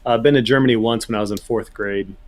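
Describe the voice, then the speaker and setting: A man's voice: lowish voice, fairly even intonation, fast talker. A man with an American accent chatting casually as a guest on a podcast. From United States. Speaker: podcast guest, gun YouTuber. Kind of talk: casual chat.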